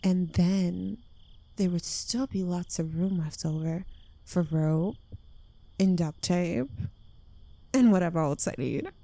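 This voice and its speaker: Woman acting a slow, creaky-voiced possessive witch girlfriend. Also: fairly low pitch, dark tone, no room sound. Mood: clingy, unhinged sweetness. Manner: coy, teasing, lingering delivery dropping into breathy whispers. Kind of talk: intimate ASMR roleplay, addressed to the listener.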